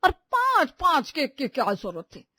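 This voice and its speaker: A woman's voice: sits high, wildly swooping pitch, moderate pace, sharp tone, no echo, full character voice. A woman voicing a strict, suspicious household matriarch in a family story, scolding and domineering. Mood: indignant. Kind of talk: animated Hindi family story dialogue.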